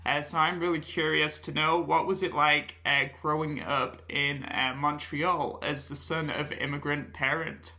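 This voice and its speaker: Gravelly bright-voiced male podcast host. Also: a little high, level tone. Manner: fills pauses with frequent "uh"s. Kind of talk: interview podcast.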